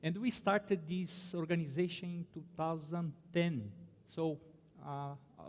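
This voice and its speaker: A man with a Brazilian Portuguese accent talks through a conference presentation in English, pausing often on fillers and restarting his phrases. His voice sits a little high for a man. It carries a long echo from a large hall. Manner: halting, many fillers and restarts. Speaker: conference panelist.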